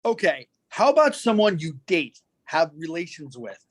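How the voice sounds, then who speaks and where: A man's voice: on the high side, big pitch swings, moderate pace. A man playing a persistent lawyer who puts insistent, clipped questions to a witness in a dramatic reading. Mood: probing. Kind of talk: dramatic reading of a deposition transcript.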